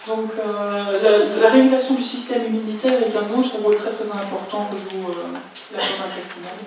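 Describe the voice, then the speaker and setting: A woman interprets a lecture phrase by phrase, hesitating often and correcting herself. Her delivery is flat, and she is heard from a distance in a big reverberant hall with constant room noise behind. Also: medium pitch, moderate pace. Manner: hesitant, many fillers and self-corrections. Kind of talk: consecutive interpreting. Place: lecture hall at a business school. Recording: echoey lecture hall from far off.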